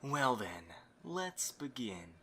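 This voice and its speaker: A man's voice: medium pitch, very animated, very slow, a bit bright, slightly rough, heavily acted. A man acting a courteous, scheming young host in an amateur audio drama, with a theatrically polite manner. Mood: brisk, polite.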